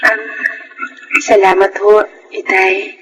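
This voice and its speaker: A woman's voice: medium pitch, level tone, slow pace, fairly bright tone, rough recording. A woman playing an emotional, earnest young single mother in a Filipino radio drama. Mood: grateful. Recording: radio drama with music behind.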